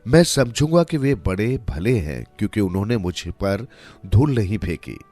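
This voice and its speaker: A man's voice: fairly low pitch, lively intonation, normal speed, a little mellow. A man voicing a devout, forgiving disciple in a moral tale, earnest and respectful. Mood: earnest, accepting. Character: devout, unshakably forgiving young male disciple. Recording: music under the voice.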